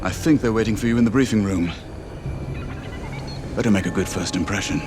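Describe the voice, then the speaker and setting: A man's voice: fairly low pitch, brisk, noisy background, natural voice. A man playing an easygoing starfighter squadron leader with a casual, welcoming way of talking in a sci-fi game. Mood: relaxed welcome. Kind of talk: cinematic sci-fi game acting.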